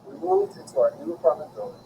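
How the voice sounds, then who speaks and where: A man's voice: fairly high pitch, wildly swooping pitch, quick pace, gravelly, dark tone, slight background noise. A man, a teacher, reading a short story aloud with expression.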